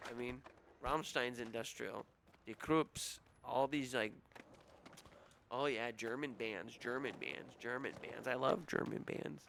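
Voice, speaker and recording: A man's voice: mid-range voice, measured pace, creaky, a bit bright, a little hiss or hum. A young adult man with a nasal, whiny voice, rambling casually and making crude jokes while streaming a game.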